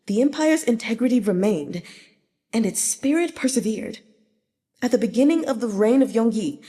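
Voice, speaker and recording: Woman doing an old dignified statesman, solemn measured speech, soft mellow voice, audiobook with big reverb. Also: a little high. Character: frail elderly male statesman, solemn and dignified. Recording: long reverberant hall echo.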